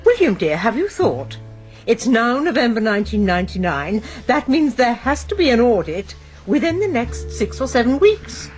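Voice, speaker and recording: A well-bred wife in a radio play. Her voice is fairly high and lively, genteel and anxious, with faint music behind. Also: normal speed. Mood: concerned. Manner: genteel, exclamatory. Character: genteel, anxious titled wife of college master. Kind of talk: British radio drama acting.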